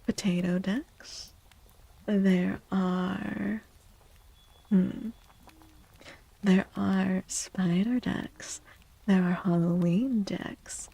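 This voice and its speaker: A woman hosting a soft-spoken ASMR livestream, hushed and breathy, often slipping into whispers and gently repeating phrases. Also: medium pitch. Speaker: livestream host, tarot reader. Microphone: close microphone in a dry space.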